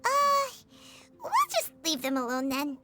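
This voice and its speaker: Fatina squillante e infantile. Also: female voice, very animated, a little slow, loud music behind the voice. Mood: curious. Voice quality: squeaky, childlike. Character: tiny cheerful childlike floating fairy companion, talks in third person. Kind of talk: video game voice acting.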